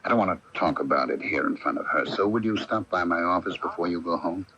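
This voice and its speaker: A man's voice: low voice, animated, quick pace, pushed and bright. An actor playing a discreet, businesslike man in a radio mystery drama, speaking in a guarded, matter-of-fact way. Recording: muffled, noisy old AM radio broadcast.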